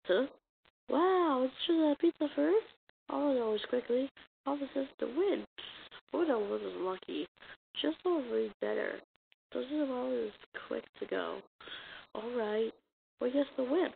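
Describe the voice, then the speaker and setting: A woman reading a comic dub as an amateur voice actor, acting out the dialogue in a halting, stumbling way on a muffled, noisy recording. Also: a little high, animated, vocal fry. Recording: muffled lo-fi recording with noise and clicks.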